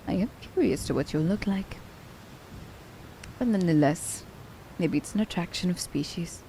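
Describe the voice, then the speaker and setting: A sly female assassin, played by a voice actress, with a low and very mellow voice. She is commanding and haughty, teasing her captive like a pet in intimate ASMR roleplay. A faint rumble sits behind her. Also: moderate pace, lightly acted, low-quality recording. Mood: cool, scheming.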